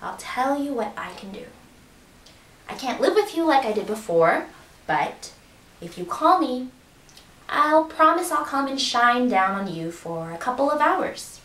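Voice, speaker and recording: A proud, wary sun, put on by a woman storyteller speaks slowly and with a lively pitch. It comes from a distance with room echo. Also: exaggerated voice. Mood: gentle. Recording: roomy echo, distant mic.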